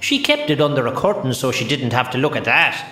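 Casting: hapless Irish sprite servant for children's audio drama, colloquial chatter, echoey, music beneath. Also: male voice, mid-range voice, wildly swooping pitch, a little fast, bright, forceful tone. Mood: chatty. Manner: chatty, colloquial, matter-of-fact. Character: chatty, cheeky male sprite, the Snow Queen's hapless servant. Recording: roomy echo, music underneath.

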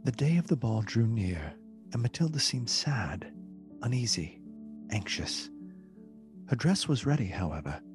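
A narrator with a husky voice, reading a literary tale as scripted narration in a radio play. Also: mid-range voice, big pitch swings, a little slow, long pauses, music in the background. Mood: measured.

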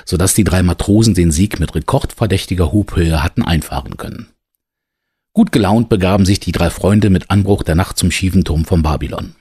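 A man narrating an adventure radio play in a measured storybook manner. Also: deep voice, a little mellow, breathy edge, dry recording, clean studio sound. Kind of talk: scripted audio drama narration.

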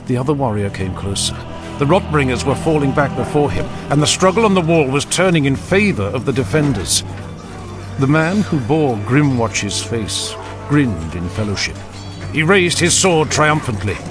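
A man narrating a dramatic fantasy audiobook in a grave, deliberate manner. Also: medium pitch, big pitch swings, over music.

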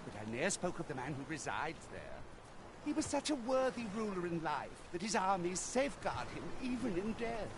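Old game storyteller, high voice, lively pitch. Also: male voice, normal speed, background noise. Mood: musing. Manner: archaic, musing storytelling. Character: ancient male storyteller, wise, wistful.

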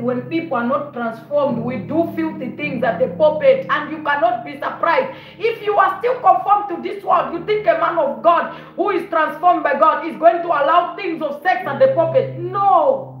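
A woman in her forties preaching a fiery sermon in her living room, repeating words and moving about, recorded on a phone a short way off. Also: high voice. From Zambia. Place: living room of a house, indoors. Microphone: phone camera on a stand at a short distance. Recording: roomy, dull lo-fi phone audio.

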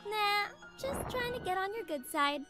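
Playful kid sister, game character, very high voice, animated, unhurried, teasing. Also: female voice. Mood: excited, teasing. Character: playful, eager, mischievous little sister, a young girl. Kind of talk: visual novel game voice acting. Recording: music playing behind.

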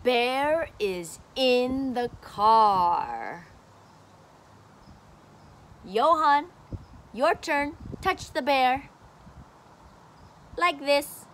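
A woman's voice: high-pitched, animated, slight background noise. A woman teacher in her forties reading a picture book aloud to a child, outdoors, filmed on a phone at arm's length. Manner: slow, simple, encouraging teacher talk. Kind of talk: slow read-aloud lesson for a child. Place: outdoor patio by a brick wall. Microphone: phone camera at arm's length.

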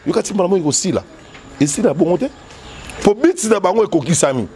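A man's voice: high-pitched, expressive, fast, darkish tone, a little hiss or hum. A middle-aged man with a Congolese accent, a former soldier and politician, talking emphatically in an interview. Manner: emphatic, gesturing, switching French and Lingala. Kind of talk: animated political talk. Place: indoors by a yellow wall. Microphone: furry handheld mic held close.

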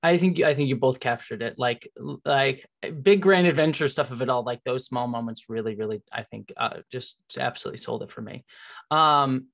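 An interview host talking casually and enthusiastically as a fan, in a rambling, halting way on a video call. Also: medium pitch, creaky. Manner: rambling, halting, filler-heavy. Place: indoors, remote video call.

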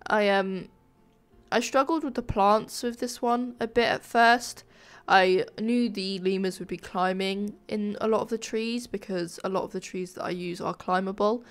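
Woman chatting over a game build video, kinda nasal n stuffed up, sore throat, medium pitch, thinks out loud. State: ill with a sore throat, slightly flat voice. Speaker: gaming video creator, narrator. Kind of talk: casual speed-build commentary, chatty voice-over.

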